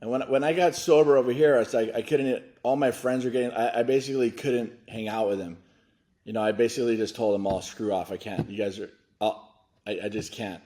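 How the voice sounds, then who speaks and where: Earnest halting man in his forties. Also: mid-range voice, fairly even intonation, dark tone. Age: 40s. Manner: earnest, halting, restarts a lot. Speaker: nonprofit foundation director, guest. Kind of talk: candid interview talk.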